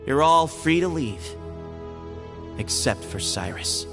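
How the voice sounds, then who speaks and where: An actor playing a calm, shrewd young man, heir to a temple, who speaks in a matter-of-fact way, in a game cutscene. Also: mid-range voice.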